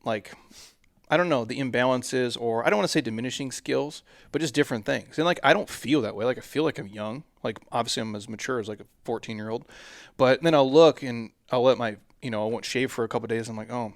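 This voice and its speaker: Gravelly guy around forty hosting a podcast, laid-back slangy chat, dry close sound. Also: mid-range voice, fast talker, no echo. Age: 40s. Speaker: podcast host. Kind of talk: casual chat.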